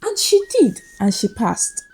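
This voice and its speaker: A woman narrates a scripted folktale with great drama. Her voice sits fairly high and swings widely in pitch, with soft music behind it. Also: big pitch swings, unhurried. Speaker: storyteller, narrator. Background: faint music.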